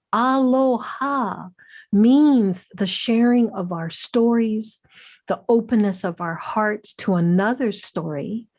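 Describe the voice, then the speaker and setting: A woman's voice: a little high, a little mellow. A middle-aged woman from Hawaii presenting her doctoral research, telling it as a reflective story and lingering reverently on key words.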